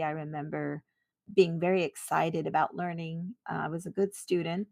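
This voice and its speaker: A woman's voice: deep voice, gravelly, no echo. A middle-aged woman, a podcast guest, telling her childhood story in an earnest, reflective way. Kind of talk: personal interview recollection.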